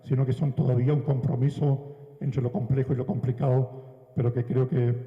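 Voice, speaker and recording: A man giving a measured academic lecture at a conference, with frequent hesitation fillers. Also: medium pitch, little pitch movement. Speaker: conference speaker. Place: large conference hall. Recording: echoey, dull hall sound.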